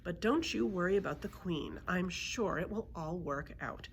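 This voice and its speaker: A woman voicing a kindly, hopeful grandmother in a children's storybook, gentle and reassuring but firm. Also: lowish voice, animated, moderate pace, gravelly, a little hiss or hum. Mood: firmly reassuring.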